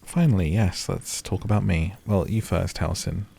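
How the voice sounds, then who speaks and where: A man's voice: low-pitched, moderate pace, gravelly, warm tone, husky. A man doing cozy, casual game commentary, musing and rambling as he plays. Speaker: video game streamer.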